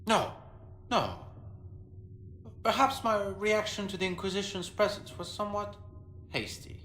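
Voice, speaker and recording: In an echoing space with faint noise, a proud marquis from a fantasy game. His fairly high male voice is pompous and formal, declaiming with haughty indignation. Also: big pitch swings, a little slow, sharp tone, exaggerated voice. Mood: flustered. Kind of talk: video game dialogue acting.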